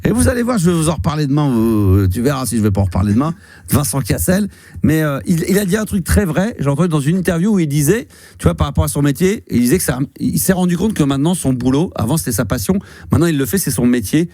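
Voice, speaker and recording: A man's voice: a little high, big pitch swings, quick pace, warm tone, no room sound. A man in his fifties hosting a radio call-in show, chatting casually and rambling with many fillers and restarts. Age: 50s. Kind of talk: casual radio chat with a caller. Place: radio studio.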